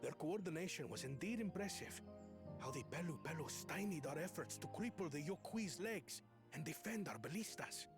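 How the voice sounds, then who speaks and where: An actor playing a proud male warrior of a long-feuding tribe, speaking in a measured, grave way. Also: a little high, expressive, over music, lightly acted. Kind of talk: game cutscene dialogue. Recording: game score playing behind.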